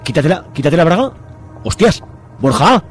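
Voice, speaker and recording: A man plays an excitable, cocky rich youngster in a comic radio drama. His voice sits fairly high and swings widely in pitch, slangy and flirtatious, over clear music. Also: moderate pace. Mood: alarmed, excited. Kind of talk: comic radio play acting.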